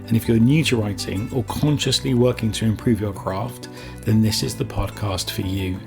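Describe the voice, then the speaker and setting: A man's voice: lowish voice, normal speed, vocal fry, over loud music. A man with a British accent presenting a scripted writing lesson as podcast host, with chatty asides. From Essex, England.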